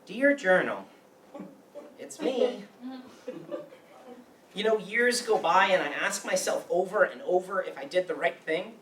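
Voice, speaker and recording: In an echoey room, some distance from the mic, a man acts out a wounded, bitter young man in a stage drama. He is anxious and brooding, and his voice has a bright edge. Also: high voice, lively intonation, a little slow, long pauses. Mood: brooding, reflective. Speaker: actor in a staged reading. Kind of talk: live stage play reading. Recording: roomy, distant mic with faint noise.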